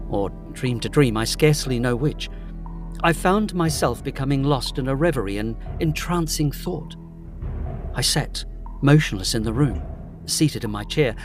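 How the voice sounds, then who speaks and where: An actor playing an uneasy, impressionable gentleman, giving brooding first-person narration in a gothic audio drama. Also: mid-range voice, close to an everyday voice. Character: uneasy, impressionable gentleman recounting eerie nights.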